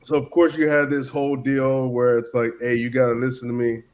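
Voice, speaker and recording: Guest spot: easygoing man in his thirties, cameroon-born, mid-pitched voice, rambling storyteller. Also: monotone, fairly quick, a little mellow. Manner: easygoing, many "you know" fillers and restarts. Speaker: podcast guest, former player. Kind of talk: casual storytelling chat.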